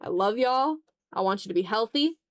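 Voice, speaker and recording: A woman with a mid-pitched voice hosts a casual drawing stream. She talks in a loose, playful way to her chat, swearing lightly and tending toward mock-dramatic complaint. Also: lively intonation, normal speed. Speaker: art streamer.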